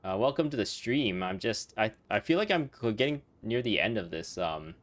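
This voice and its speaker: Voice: mid-range voice, animated, moderate pace. A game streamer giving casual livestream commentary in a playful, giggly, rambling way. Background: game music.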